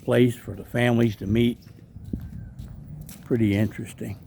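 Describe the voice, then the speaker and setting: Gravelly middle-aged guy rambling on a park walk vlog, lots of ums, faint outdoor noise. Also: mid-range voice, level tone, moderate pace, dark tone. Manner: rambling, chatty, with frequent ums and asides. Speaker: vlogger and street evangelist. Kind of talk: casual walking vlog narration.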